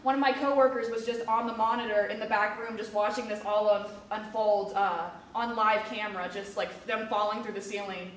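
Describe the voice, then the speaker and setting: A woman's voice: medium pitch, fairly even intonation, fairly quick, hardly any pauses, a bit bright, slight background noise. A young woman in her twenties from Kentucky, chatting casually in an interview with many fillers. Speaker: museum education specialist. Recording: echoey room from a distance.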